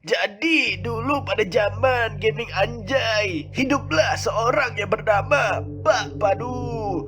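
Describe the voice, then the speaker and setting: A man's voice: high voice, slow, over music. A man voicing a wise village elder who explains and tells a legend in a scripted cartoon. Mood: solemn storytelling. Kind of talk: scripted cartoon narration and dialogue.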